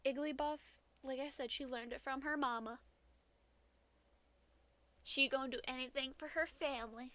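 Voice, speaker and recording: A woman's voice: high-pitched, fairly even intonation, moderate pace, pauses often, a bit bright. A woman co-hosting a podcast in casual banter, with a playful, mock-dramatic way of talking. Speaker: podcast co-host.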